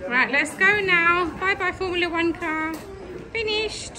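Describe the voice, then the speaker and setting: A woman's voice: extremely high pitch, a little slow, crowd noise. A woman filming her child on a ride outdoors, chatting cheerfully, asking lots of questions and cheering him on. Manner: cheerful, asks many questions, cheers often. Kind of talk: casual encouraging chat behind the camera. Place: shopping street outdoors by a kiddie ride. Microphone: phone camera held by the speaker.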